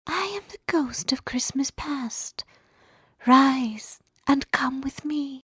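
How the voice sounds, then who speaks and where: A woman voicing a strange childlike, ageless spirit in a whispery, breathy voice, gently commanding, for a storybook. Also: high-pitched, takes it slowly, dry recording, clean studio sound, pitched above the performer's own voice. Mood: hushed, commanding. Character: Ghost of Christmas Past, strange childlike old-womanish spirit.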